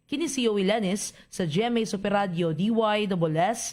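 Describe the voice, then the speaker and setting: A woman with a Cebuano accent delivers a scripted radio news bulletin. Her voice sits at a middle pitch. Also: moderate pace, darkish tone.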